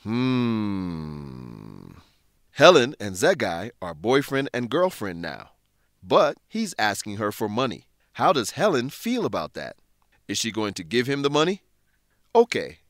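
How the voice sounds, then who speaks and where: Lively male narrator, dry close sound. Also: on the low side, unhurried, no echo. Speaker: narrator and English lesson host. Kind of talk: scripted narration with questions to listeners.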